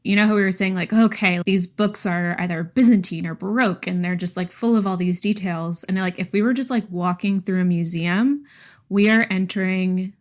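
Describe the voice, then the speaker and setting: A woman's voice: on the low side, steady tone, moderate pace. A woman co-hosting a podcast, discussing books in a casual, conversational way. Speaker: podcast co-host.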